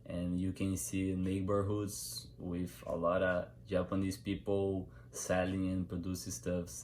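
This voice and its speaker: A man's voice: low voice, darkish tone. A young man with a Brazilian accent, a native Portuguese speaker, giving an informal informative speech in simple non-native English phrasing. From Brazil, São Paulo state. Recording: clear background noise.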